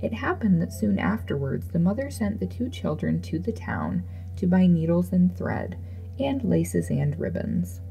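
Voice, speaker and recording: A woman's voice: on the low side, very animated, a little slow, vocal fry, warm tone, faint music behind, rough recording. A woman reading a classic fairy tale aloud as a scripted narration. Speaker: storyteller. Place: voice-over with music behind.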